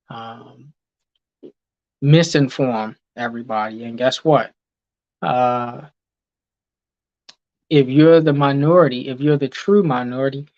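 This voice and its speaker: A man talks through his ideas at an easy pace, with a mid-pitched voice and a lot of "um" and "okay" between thoughts. He stops to read viewers' comments aloud and answer them. The recording is dry and a little dull, like a cheap mic. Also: darkish tone, no echo. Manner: unhurried, many ums and okays, reads comments aloud. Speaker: host reading live chat. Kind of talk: casual teaching talk with viewers.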